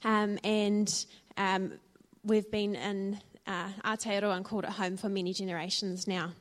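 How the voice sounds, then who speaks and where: A woman's voice: medium pitch, level tone, unhurried. Nz woman giving her testimony at church, earnest and thoughtful, lots of ums. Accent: New Zealand. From New Zealand. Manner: earnest and reflective, with frequent fillers. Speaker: church speaker sharing her story. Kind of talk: personal testimony talk.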